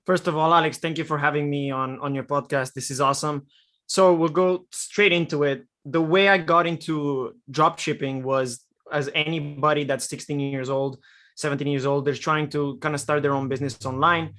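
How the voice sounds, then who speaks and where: Young guy from romania on a podcast, slightly high voice, easygoing rambling story. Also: normal speed. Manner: relaxed storytelling with many fillers. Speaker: guest, e-commerce copywriter. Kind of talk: podcast interview answers.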